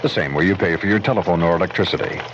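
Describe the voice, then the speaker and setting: Old radio ad guy, low voice, scripted pitch, muffled and noisy. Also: very fast. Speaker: commercial announcer. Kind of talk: 1970s radio advertisement read.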